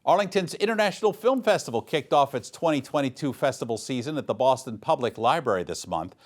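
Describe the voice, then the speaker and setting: A man's voice: medium pitch, lively intonation, fast talker. A man reading scripted local news as an anchor.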